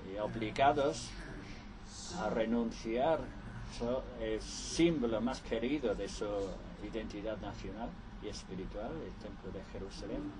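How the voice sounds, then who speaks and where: A man interpreting conference speeches live, halting and restarting often. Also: mid-range voice, poor audio.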